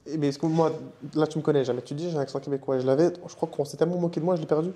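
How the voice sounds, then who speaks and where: A man's voice: fairly high pitch, steady tone, very fast, dark tone. A young man raised in Canada and Switzerland, a guest in a casual interview, talking in a slangy conversational way. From Morocco, raised in Canada and Switzerland.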